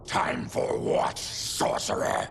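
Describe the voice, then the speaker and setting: An actor voicing a gruff, honorable mutant warrior in a video game, with a rasping, solemn and forceful delivery. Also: male voice, slow pace, a little hiss or hum, natural voice. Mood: angry. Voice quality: gravelly, rasping. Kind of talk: dramatic video game cutscene acting.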